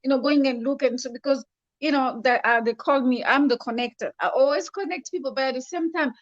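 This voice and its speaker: A woman's voice: sits high, brisk, no room sound, muffled recording. A woman co-hosting a business talk show, who talks in a chatty way with many fillers. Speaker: co-host, business connector. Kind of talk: casual talk show discussion.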